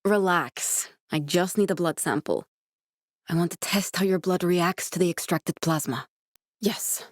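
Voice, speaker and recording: An actress playing an earnest, guarded woman scientist in a video game, speaking in a measured, serious, explanatory way with naturalistic delivery. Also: lowish voice, no room sound, clean studio sound. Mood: calm, instructive. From born in Norway, raised in Glasgow (character).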